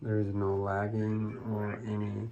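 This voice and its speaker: A man's voice: on the low side, monotone, unhurried, dark tone, muffled recording, slight background noise. A man giving a casual product demonstration as a reviewer, in plain, step-by-step short phrases.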